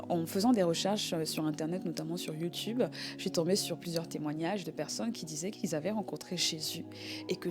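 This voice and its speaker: Woman with lowish voice, haltingly sharing testimony. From Congo. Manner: halting, searching for words, repeating herself. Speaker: guest giving a testimony.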